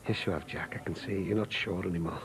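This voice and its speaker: A man's voice: low voice, fast, noisy background. An actor playing a middle-aged man pleading with the woman he loves to run away with him. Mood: coaxing. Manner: coaxing, tender, persuasive. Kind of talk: British TV drama acting.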